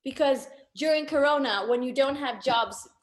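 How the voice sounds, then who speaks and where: A woman's voice: high-pitched, moderate pace. A woman in her thirties from Tanzania, talking openly and reflectively as a guest on a video call from home, with many fillers. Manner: open, reflective, lots of fillers. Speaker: guest photographer. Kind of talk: casual discussion. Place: home room. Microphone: video call laptop camera. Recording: echoey room.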